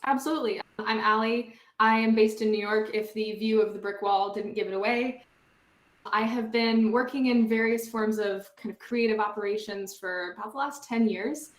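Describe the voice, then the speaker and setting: A woman's voice: on the high side, fairly even intonation, normal speed. Upbeat woman in an echoey room. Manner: upbeat, friendly, chatty. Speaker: panelist. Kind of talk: panel introduction. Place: home room with a brick wall.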